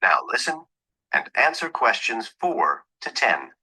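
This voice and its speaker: A man's voice: mid-range voice, sing-song, a little slow, pushed and bright, breathy edge, poor audio. A man reading scripted listening test instructions in a formal, instructional way. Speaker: exam narrator.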